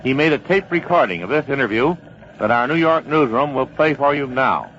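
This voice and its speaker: An actor playing a sober American military news analyst in an old-time radio drama, factual and authoritative. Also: male voice, mid-range voice, animated, fairly quick, fairly bright tone, close to an everyday voice. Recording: muffled vintage radio, faint noise.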